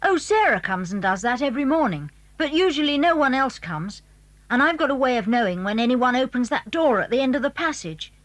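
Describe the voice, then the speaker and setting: A woman's voice: high-pitched, very animated, brisk, low-quality recording. A woman playing a clever, secretive British schoolboy in a children's audio drama, eager and well-spoken as he explains things. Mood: eager, proud. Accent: British English. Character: clever, secretive schoolboy showing friends his house.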